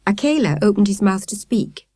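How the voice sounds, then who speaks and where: A woman narrating a children's story in expressive, carefully phrased style. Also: mid-range voice, moderate pace, no room sound, studio quality. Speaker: audiobook narrator.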